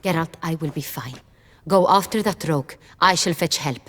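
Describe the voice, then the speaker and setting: A woman's voice: low-pitched, normal speed. A woman in a video game playing a refined, commanding duchess with formal, genteel phrasing. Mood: resolute. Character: noble young female duchess, refined, commanding and earnest.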